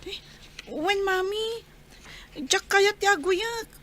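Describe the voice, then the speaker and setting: A small, scared child in a radio drama. The voice is extremely high and drags slowly through each plaintive plea, clingy and fearful. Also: female voice, a bit bright, heavily acted. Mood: anxious. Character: frightened young child, clingy and pleading. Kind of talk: Ilocano radio drama acting.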